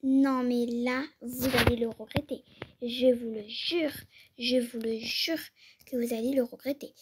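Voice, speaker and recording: A woman's voice: a little high, moderate pace, a little mellow, slightly rough. A young woman playing a fiercely protective mother in an improvised skit, indignant and threatening, repeating herself. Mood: furious. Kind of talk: improvised role-play skit.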